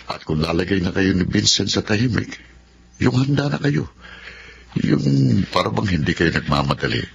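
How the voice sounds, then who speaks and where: A man's voice: sits low, expressive, moderate pace, vocal fry. A Filipino man playing a stern but caring middle-aged father in a radio drama, giving admonishing fatherly counsel. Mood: caring, firm. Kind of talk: Tagalog radio drama acting. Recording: dull lo-fi radio drama, noisy ambience.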